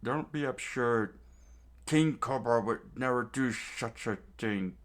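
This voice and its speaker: A man's voice: mid-range voice, takes it slowly, pitched above the performer's own voice, lightly acted. A man voicing a stern, loyal male guard captain who speaks in a firm, commanding way. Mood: troubled.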